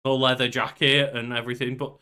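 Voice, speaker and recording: Casting: young adult man, podcast co-host, bright forceful voice, mid pitch, casual bantering delivery. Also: little pitch movement, fairly quick, clear, resonant tone. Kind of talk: casual chat. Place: small bedroom at home.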